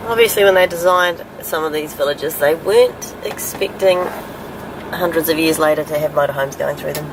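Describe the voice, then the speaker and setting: A woman's voice: sits low, moderate pace. A middle-aged woman with a New Zealand accent, chatting casually as a travel vlogger. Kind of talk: casual chat to camera. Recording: noisy outdoor and vehicle location sound.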